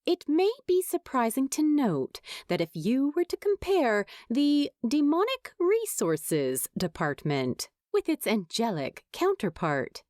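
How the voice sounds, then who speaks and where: A woman reading a story aloud as an expressive, wry narrator. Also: a little high, no echo, clean studio sound. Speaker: fan fiction narrator.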